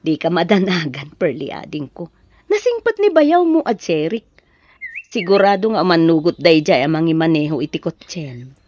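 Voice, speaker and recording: Animated, reassuring elder sister, Ilocano accent. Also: female voice, sits low, normal speed, no echo, muffled recording. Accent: Filipino, Ilocano. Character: Erlinda, helpful, reassuring married elder sister. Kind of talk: Ilocano audio drama acting.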